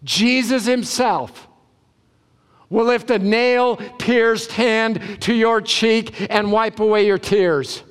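A man preaching a sermon as a pastor, emphatic, with deliberate pauses and repeated short phrases, in a large hall. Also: high voice, steady tone, clean tone.

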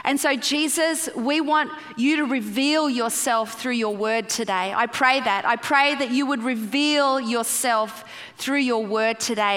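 A woman's voice: on the high side, fairly bright tone, big-room sound. An Australian woman in her forties, a guest pastor giving a chatty, playful sermon and prayer and asking the crowd questions. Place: large church auditorium.

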